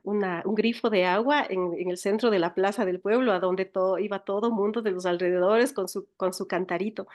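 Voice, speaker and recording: A woman's voice: mid-range voice, darkish tone. A woman with an Ecuadorian accent talking reflectively as an interview guest, searching for words and repeating them as she tells her story. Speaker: writer and teacher, interview guest.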